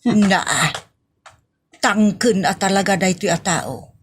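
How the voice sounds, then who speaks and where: A woman's voice: mid-range voice, slow pace, a little hiss or hum, natural voice. A woman playing a scolding, meddling aunt in a comedy audio drama, with an Ilocano accent and a nagging, exasperated manner.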